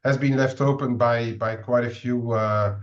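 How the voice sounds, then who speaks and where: A Belgian man with a Belgian accent giving casual market commentary on a trading webinar, halting with many fillers and restarts. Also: mid-range voice, steady tone, dull audio.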